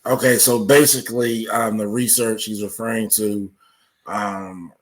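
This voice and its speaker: A man speaking as an interview guest, explaining things conversationally in a measured way. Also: lowish voice, steady tone, poor audio. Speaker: podcast guest, researcher.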